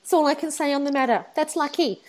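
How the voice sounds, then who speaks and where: A woman co-hosting a casual podcast chat, her voice croaky and hoarse, playful and laughing. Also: sits high, quick pace. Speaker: podcast co-host.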